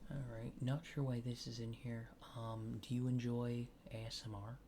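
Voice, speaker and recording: A man doing soft-spoken, partly whispered ASMR, playing a laid-back alien abductor asking casual, hesitant survey questions in a deadpan way. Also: mid-range voice, moderate pace, noisy background. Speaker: ASMR creator.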